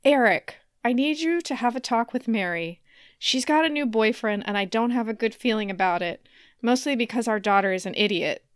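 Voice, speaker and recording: A woman's voice: a little high, animated, normal speed, no echo, lightly acted. Woman reading a stern, anxious TV mom, dry sound. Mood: worried, blunt. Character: disapproving, anxious middle-aged mother. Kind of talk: parody table read of TV family drama.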